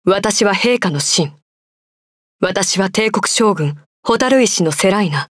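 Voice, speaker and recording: A woman voicing a loyal, dutiful young female imperial knight in a video game, formal and measured. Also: mid-range voice, expressive, a bit bright, dry recording, clean studio sound. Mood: resolute. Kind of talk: game voice clips.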